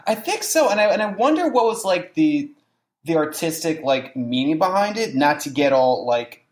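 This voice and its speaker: This young host chats loosely and says "like" a lot. The pitch leaps around as they talk. The voice bounces around a reverberant room. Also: normal speed. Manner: animated, says "like" a lot, does mocking quoted voices. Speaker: podcast host. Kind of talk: casual chat. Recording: echoey room.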